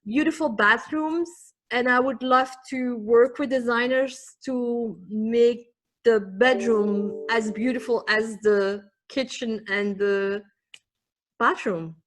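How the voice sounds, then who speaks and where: A woman's voice: fairly high pitch, steady tone. Dutch-accented woman presenting her linens. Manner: halting, with fillers and soft laughs. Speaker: guest presenting a product line. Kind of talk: live virtual presentation.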